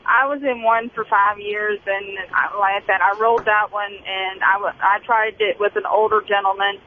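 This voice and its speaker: A female caller with a flat, even delivery, talking personally on a talk show. Her voice comes through a narrow phone line with faint noise. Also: medium pitch, normal speed.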